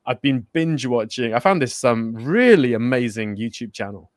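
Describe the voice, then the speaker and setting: A man's voice: mid-range voice, lively intonation, moderate pace, dry recording, studio quality. A man raised in Spain co-hosting a casual finance talk show, chatting loosely, laughing often and correcting himself mid-sentence. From born in Germany, raised in Spain. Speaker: co-host. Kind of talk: casual chat.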